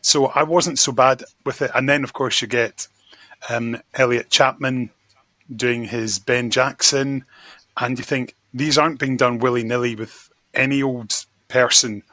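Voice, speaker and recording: Very bright-voiced man, close and dry. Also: medium pitch, steady tone, unhurried, no echo. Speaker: podcast guest, magazine editor. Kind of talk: casual chat, giving opinions.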